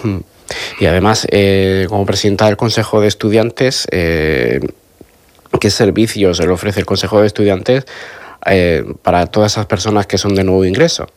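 A man hosts a conversational interview. His voice is fairly low, and he phrases his questions hesitantly, piece by piece. The sound is close and dry. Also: moderate pace, darkish tone, no room sound. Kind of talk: conversational radio-style interview.

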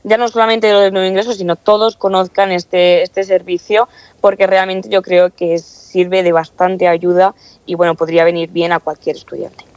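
A woman's voice: lowish voice, little pitch movement, moderate pace, darkish tone, dry recording, dull audio. Young student woman rambling with lots of fillers, dry close sound. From Castilla-La Mancha, Spain. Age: young adult. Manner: chatty, many fillers and restarts. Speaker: student council member, guest. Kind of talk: interview answers.

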